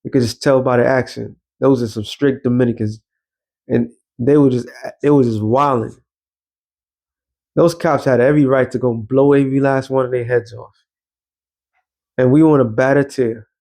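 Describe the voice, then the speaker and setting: New guy ranting and quoting bible verses, cussing, street preacher flow, middle pitch. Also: fairly even intonation, normal speed, vocal fry, pauses often, darkish tone. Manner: blunt, profane, street-preacher cadence. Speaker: commentator. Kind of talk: ranting commentary mixed with scripture reading.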